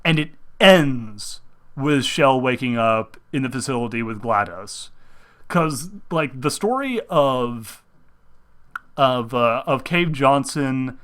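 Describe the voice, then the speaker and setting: A young man hosting a casual chat about video games, rambling and excitable, restarting his sentences often. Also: mid-range voice, slow pace, clear, resonant tone. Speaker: host.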